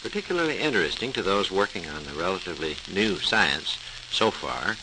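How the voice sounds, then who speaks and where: A man narrates a scripted science program in 1940s radio style. His voice is lowish with lively rises and falls, over clear hiss and crackle. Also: a little fast. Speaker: research engineer presenting a science program.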